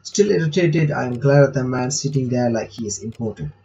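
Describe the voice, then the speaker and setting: A man's voice: medium pitch, fairly quick, creaky, warm tone, dull audio. A man reading visual novel text aloud with casual commentary, breaking off to remark and reread lines. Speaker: gaming reaction video maker.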